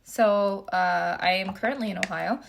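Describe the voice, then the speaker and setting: A young woman from Japan chatting casually through a livestream Q&A, reading comments aloud while doing her makeup, relaxed and full of fillers. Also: mid-range voice, moderate pace, creaky, background noise. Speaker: beauty vlogger.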